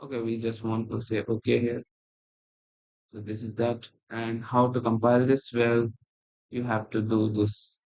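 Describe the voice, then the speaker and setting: A man teaching a coding tutorial while screen recording, talking in a halting, rambling way with non-native phrasing, recorded on a laptop's built-in mic. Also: mid-range voice, monotone, a little mellow, highs cut off. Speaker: programming tutor. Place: at a computer, indoors.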